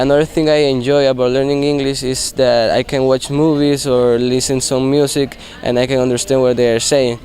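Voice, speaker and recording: A man's voice: medium pitch, darkish tone. A young man whose first language is Spanish, speaking steadily with frequent fillers about learning English. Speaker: student. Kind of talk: speaking in a class video.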